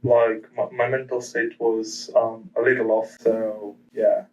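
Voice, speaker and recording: A man's voice: medium pitch, flat delivery, a little slow. Hesitant man on a dull, distant mic. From Portugal. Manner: hesitant, many ums and restarts. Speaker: guest trader. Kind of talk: casual interview answers.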